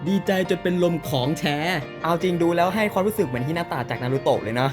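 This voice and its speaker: Fast, lively, chatty fan-dub commentator. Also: medium pitch. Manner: teasing, exclaiming, chatty. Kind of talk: casual joking commentary on comic episodes. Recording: music behind the voice.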